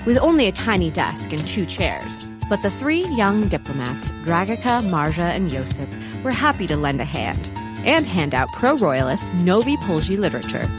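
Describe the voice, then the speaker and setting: A woman's voice: fairly low pitch, sing-song, normal speed, over music. A woman playing a wry narrator, reading scripted narration in a comedic audio drama. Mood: wry, narrating.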